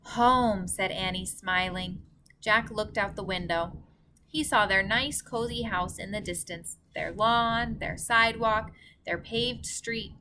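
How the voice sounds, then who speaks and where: A woman reads a children's storybook aloud in a bright, forward voice. She takes her time like a teacher with young listeners, pronouncing things carefully, with some noise behind her. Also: medium pitch, measured pace. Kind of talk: storybook read-aloud narration.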